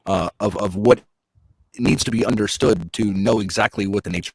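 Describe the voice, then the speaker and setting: A man co-host in a call-in religious debate, explaining his points calmly. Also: lowish voice, normal speed, no echo.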